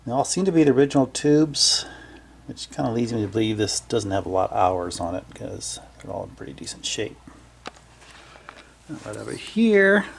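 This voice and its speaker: A man giving casual, unscripted narration as a hobbyist repairer at his workbench, low-key and hesitant as he thinks aloud. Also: gravelly, slight background noise. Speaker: hobbyist electronics repairer presenting his project. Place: small indoor home workshop at a bench.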